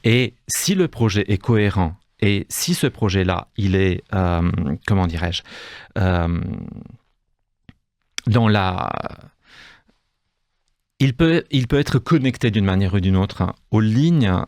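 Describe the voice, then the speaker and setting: Hesitant Romanian-accented man, low voice. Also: expressive, slow pace, darkish tone, no room sound, studio quality. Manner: hesitant, many restarts and fillers. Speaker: guest, cultural institute deputy director. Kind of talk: radio interview. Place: radio studio.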